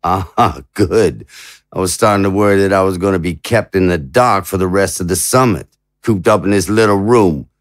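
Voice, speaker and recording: Audio drama role: proud but naive president played by a man, lowish pitch, halting, stammering, indignant and anguished. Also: no room sound, studio quality, natural voice. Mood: startled. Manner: stammering, rhetorical. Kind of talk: dramatic audio fiction acting.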